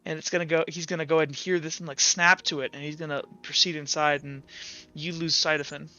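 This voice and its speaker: Male game master, highish bright voice, improvised casual narration, faint music, slightly dull recording. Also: flat delivery. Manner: casual, halting.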